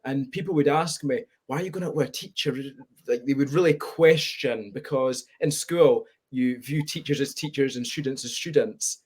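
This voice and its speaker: A Scottish-accented man of nineteen, from Spain, talks openly and thoughtfully about himself. His pitch sits in an ordinary middle range, and he comes across over a video call. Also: normal speed, a little mellow, husky. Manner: candid, reflective. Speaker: guest. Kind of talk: webinar conversation.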